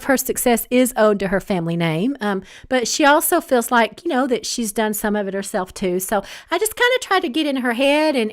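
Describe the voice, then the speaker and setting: A woman with a Southern accent from Alabama, an author chatting casually on a livestream and reading out viewer comments, rambling with many pauses and restarts. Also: a little high. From Northeast Alabama. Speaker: author hosting a live book chat.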